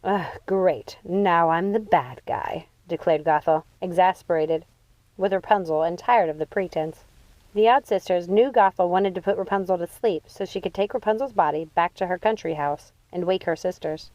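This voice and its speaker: A woman reading a children's fantasy novel aloud as expressive scripted narration. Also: on the low side, moderate pace, dry recording, dull audio. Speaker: audiobook reader.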